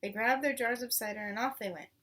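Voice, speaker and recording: A woman narrates a children's story in a high-pitched voice. Her reading is expressive, and she sounds set back a little from the microphone. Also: fast talker, recorded from a distance. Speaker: storybook reader.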